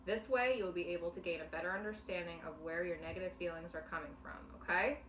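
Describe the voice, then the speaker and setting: A woman speaking as a clinician in a demonstration counseling session, calm and patient, explaining step by step. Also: lowish voice, fast. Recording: echoey room, mic at a distance, faint noise.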